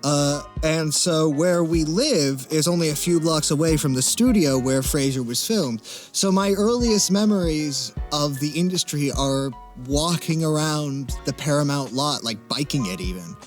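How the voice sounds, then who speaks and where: A young man speaks in a somewhat high register, in the relaxed style of an interview guest. He is articulate and wry, pausing as he thinks through his answers. Faint music plays behind him. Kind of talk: casual interview chat.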